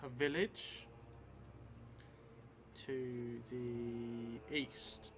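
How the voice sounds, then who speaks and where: A man in his forties, narrating a solo role-playing game and thinking aloud in a musing, halting, muttering way. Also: medium pitch, level tone, background noise. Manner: musing, halting, drifting into muttering while writing. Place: indoor theatre stream set, seated at a table. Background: background music.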